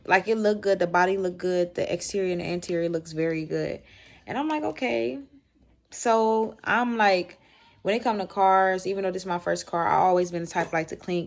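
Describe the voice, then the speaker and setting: Casting: American woman vlogger, low voice, loose slangy storytime delivery with animated asides. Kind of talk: casual storytime chat to camera.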